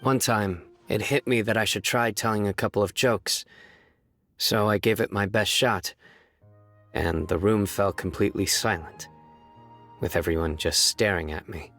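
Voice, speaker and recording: A man voicing a serious, stoic young lawman in a video game, earnest and deadpan with a measured way of talking. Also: fairly low pitch, no echo. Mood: earnest, reflective. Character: serious, stoic young male lawman who earnestly tells bad jokes. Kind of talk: video game character voice acting. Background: music.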